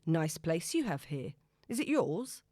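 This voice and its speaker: A woman voicing a smooth, breezy, noncommittal man on a date, with a casual, glib manner, in an audiobook. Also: low-pitched, sing-song, unhurried, mellow, no room sound, studio quality, heavily acted. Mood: easygoing, charming. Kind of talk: audiobook character dialogue.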